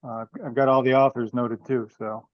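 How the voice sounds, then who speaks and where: A man's voice: medium pitch, little pitch movement, moderate pace, no echo. Dull-sounding man chairing a conference call. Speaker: meeting chair. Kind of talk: casual meeting moderation. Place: teleconference. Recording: dull, dry, band-limited conference call.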